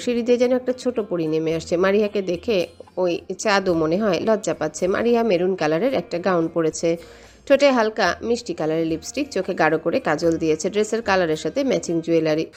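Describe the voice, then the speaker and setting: A woman's voice: fairly low pitch, fast, darkish tone. A woman reading scripted romantic story narration as the narrator.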